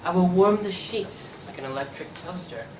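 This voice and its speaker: A woman's voice: lowish voice, normal speed, lightly acted. A young woman playing an ardent draper, a man mad with love, in a table read of a radio play. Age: young adult. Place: room at a university table read. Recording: distant echoey room with clear noise.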